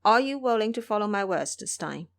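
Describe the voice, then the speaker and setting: A woman's voice: mid-range voice, very animated, a little fast. A woman voicing a stern, cautious male monk master in an audio storybook drama, questioning and admonishing.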